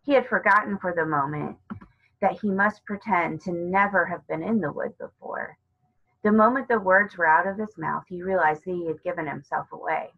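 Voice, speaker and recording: A woman narrating a children's novel as a read-aloud, with a husky voice. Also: lowish voice, normal speed, highs cut off. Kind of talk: read-aloud storybook narration with character dialogue.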